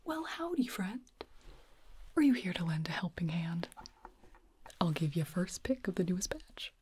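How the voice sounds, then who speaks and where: A woman voicing a cheerful, proud elf father with a folksy greeting, in a hushed ASMR roleplay close to the mic. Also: on the low side, big pitch swings, moderate pace, a little hiss or hum. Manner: hearty whisper, proud and folksy.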